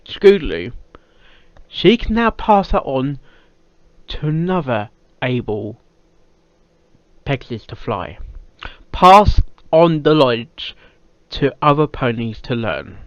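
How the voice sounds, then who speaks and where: A British man talks off the cuff as a reaction host, his fairly high voice swinging up and down in pitch. He stumbles and repeats phrases while working out his thoughts. The recording is dull and lo-fi. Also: fairly bright tone, no room sound. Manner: halting, repeats words and phrases. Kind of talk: casual off-the-cuff reaction commentary. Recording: dull lo-fi microphone sound.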